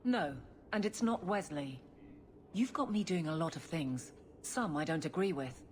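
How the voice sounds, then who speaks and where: Guarded, no-nonsense female fighter in a game cutscene; fairly low voice, blunt and earnest. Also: animated, measured pace. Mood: frustrated, earnest. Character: stern, practical, guarded warrior woman. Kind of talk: video game voice acting. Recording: faint background ambience noise.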